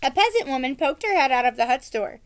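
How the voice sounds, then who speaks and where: A woman reading a children's book aloud as an expressive storyteller. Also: sits high, fast, slight background noise.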